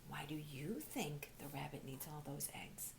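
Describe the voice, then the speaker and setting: A woman reading aloud to children like a teacher, expressive, often dropping into breathy, hushed storytelling. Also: low-pitched, a little slow. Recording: faint background noise.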